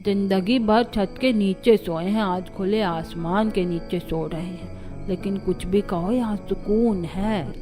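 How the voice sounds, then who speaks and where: A woman plays an elderly mother-in-law in an animated family story. She grumbles and teases with a sarcastic edge, in a mid-range voice with music underneath. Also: mid-range voice, slow pace, natural voice. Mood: weary, contented. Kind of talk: Hindi cartoon story dialogue. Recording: music behind the voice.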